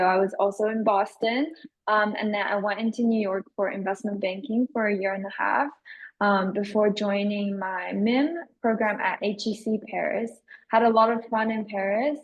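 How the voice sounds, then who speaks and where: A woman's voice: medium pitch, low-quality recording. A woman from Shanghai and Toronto, an alumna panelist giving an upbeat introduction over a video call.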